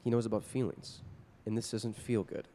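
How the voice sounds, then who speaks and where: Male actor for measured radio-play psychologist. Deep-leaning, mellow tone, grave and advisory. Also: steady tone, lightly acted. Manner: deliberate, advisory. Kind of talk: old-time radio drama acting.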